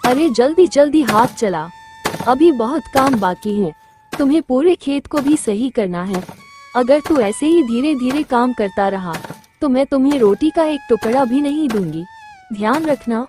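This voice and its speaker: A woman voicing a harsh, scolding village stepmother in a moral-story cartoon, with a sharp, threatening manner and music underneath. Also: high-pitched, expressive, moderate pace.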